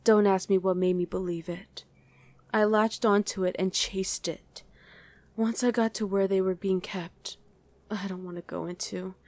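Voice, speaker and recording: A woman voicing a guarded demon who was once a human mother, in an intimate ASMR roleplay with halting, emotional delivery. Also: medium pitch, fairly even intonation, darkish tone, dry recording, natural voice. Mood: anguished. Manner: halting, emotional, speaks directly to one listener.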